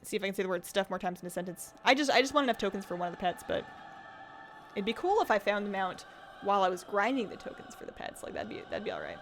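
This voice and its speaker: A woman's voice: mid-range voice, big pitch swings, fast, soft background music. A woman game streamer chatting casually and rambling as she plays, her voice a little strained from talking. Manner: chatty, rambling, reads viewer comments aloud. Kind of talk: casual livestream chat while gaming.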